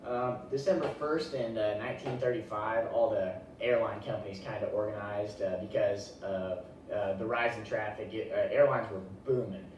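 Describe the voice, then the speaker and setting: A man's voice: lowish voice, steady tone, moderate pace, few pauses, lo-fi sound. A young man giving an informal class presentation in a folksy, colloquial way. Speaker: student presenter. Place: echoey indoor room, presenting to a class. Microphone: distant room microphone. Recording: very echoey, distant mic, noisy room.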